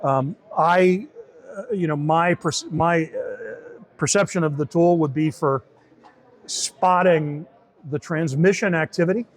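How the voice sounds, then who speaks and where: A man with a somewhat high voice walks through a software tool in an informal demo. He explains it conversationally, often repeating and rewording his points as he goes. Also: slow. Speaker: software team member demonstrating a beta tool. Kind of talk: informal product demo in an interview.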